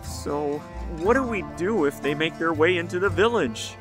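A man's voice: fairly high pitch, expressive, moderate pace, over music, lightly acted. A man playing an anxious, hesitant male villager fretting over a friend in an audio drama. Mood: worried. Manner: hesitant, worried.

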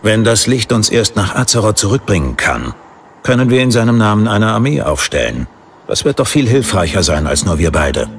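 Deep-voiced earnest paladin. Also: male voice, sing-song, moderate pace, slight background noise. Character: proud paladin husband, wry, earnest warrior. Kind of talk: dramatised audiobook acting.

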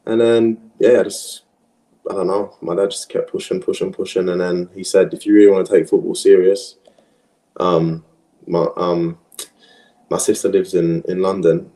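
A young man from Australia, whose first language was French, chatting casually as an interview guest in a relaxed, rambling way with many fillers. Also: sits low. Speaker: interview guest, professional footballer. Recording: echoey room.

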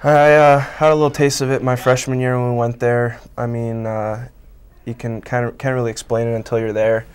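Young man with a flat, smooth voice. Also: medium pitch, brisk. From Canada. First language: Italian. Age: 20s. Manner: relaxed, many fillers like um and I mean. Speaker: college hockey player guest. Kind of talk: casual interview answers. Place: small local TV news studio, indoors. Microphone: lapel mic.

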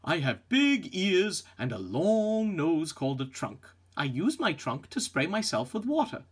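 A man's voice: a little high, wildly swooping pitch, a little slow, breathy edge. A man reading a picture book aloud expressively for children. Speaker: storybook reader.

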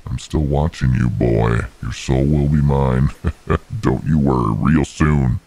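A man doing a gravelly, gloating devil. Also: steady tone, warm tone, full character voice. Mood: taunting. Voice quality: very deep, gravelly. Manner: taunting, drawn-out menacing delivery. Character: gloating male devil, Dark Lord, mocking and menacing. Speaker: recurring comic co-host.

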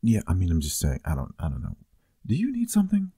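A man's voice: low voice, huge pitch swings, quick pace, dark tone, husky, no echo, studio quality, exaggerated voice. A man playing a teasing, affectionate boyfriend in an intimate, casual ASMR roleplay, murmuring playfully.

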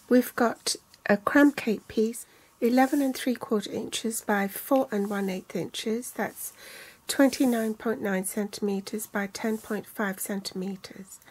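An older woman calmly walking through a card-making tutorial step by step, reading out measurements in inches and centimeters. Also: mid-range voice, breathy edge, rough recording. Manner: calm, measured, reads out sizes in inches and centimeters.

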